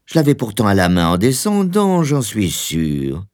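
A man voicing a stiff, controlling older husband in a storybook, with a formal, fussy, unhurried manner. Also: on the low side, huge pitch swings, darkish tone. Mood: fussy, puzzled.

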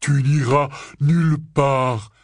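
A man voicing a gruff, growling giant. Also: mid-range voice, steady tone, no room sound, studio quality, pitched above the performer's own voice, natural voice. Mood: gruff, insistent. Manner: growling, slow and heavy. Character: gruff giant male groundskeeper, protective. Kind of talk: audiobook character reading.

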